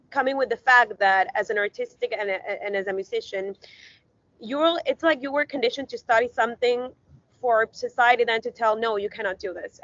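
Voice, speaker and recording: Earnest higher-voiced woman from Ecuador. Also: fairly bright tone, dry recording, dull audio. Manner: rambling, earnest, many fillers. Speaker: guest, visibility strategist. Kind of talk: casual interview talk.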